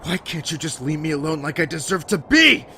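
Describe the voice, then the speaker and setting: A man playing a guilt-ridden, self-loathing young survivor in an emotional audio drama, with a halting, bitter delivery. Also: on the high side, sing-song, sharp tone, a little hiss or hum, heavily acted. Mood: anguished, bitter. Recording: prominent music behind the voice.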